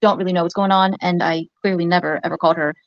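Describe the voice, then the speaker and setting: Soft-voiced woman, quick halting ramble, lowish, muffled clip. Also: steady tone, no room sound. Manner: hesitant, many fillers and restarts. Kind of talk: rambling livestream talk.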